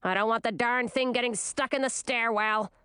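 A woman voicing a shrill, scornful old queen with a screechy, contemptuous way of scolding. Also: steady tone, moderate pace, bright, forceful tone, clear, resonant tone, no echo, clean studio sound.

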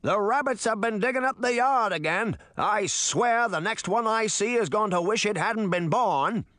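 Man playing a grumpy, shouting, high-strung old man. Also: normal speed, no echo. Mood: outraged. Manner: shouting, grumbling. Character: grumpy, stocky old man, indignant homeowner.

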